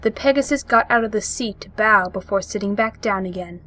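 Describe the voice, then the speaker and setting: A woman's voice: mid-range voice, fairly quick. A woman reading scripted story narration as the narrator of an audio play.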